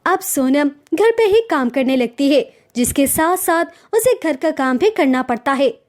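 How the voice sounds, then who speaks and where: A woman's voice: high voice, expressive, measured pace, a little mellow. A woman narrator reading a scripted story.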